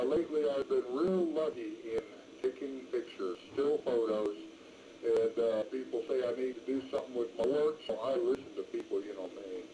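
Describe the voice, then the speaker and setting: A man's voice: mid-range voice, dark tone. An older man chatting casually as an amateur radio operator, with a rambling, folksy way of talking. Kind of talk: casual ham radio chat. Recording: noisy amateur radio transmission.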